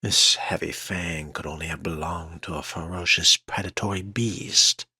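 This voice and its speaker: A man's voice: deep voice, steady tone, a little slow, bright, forceful tone, breathy edge, full character voice. A man playing a sardonic, refined vampire fledgling, musing aloud in arch, wordy, theatrical phrases for a video game role-play. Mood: wry, curious.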